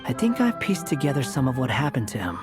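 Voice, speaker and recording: An aloof, sardonic young man speaks in dry, cutting remarks. His pitch is ordinary and mid-range. Game music plays faintly behind him. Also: wildly swooping pitch, quick pace. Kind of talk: game cutscene voice acting. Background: background music.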